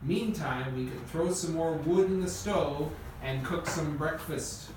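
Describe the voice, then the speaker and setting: Man as wry inventor, confident, radio play acting, distant mic, clear background noise. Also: a little high, measured pace, close to an everyday voice. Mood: wry, assured. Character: Wilbur Wright, confident, wry adult male inventor. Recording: echoey room, distant mic, noisy background.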